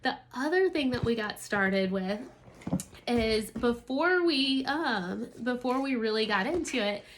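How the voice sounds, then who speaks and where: A woman's voice: fairly high pitch, expressive, measured pace, slight background noise. A woman, a homeschooling mother, giving an enthusiastic, casual product review in a talking-to-camera style.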